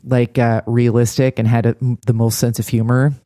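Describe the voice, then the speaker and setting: A man's voice: medium pitch, little pitch movement, normal speed, mellow, no room sound, studio quality. A man co-hosting a casual recap discussion in a wry, critical way. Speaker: podcast co-host.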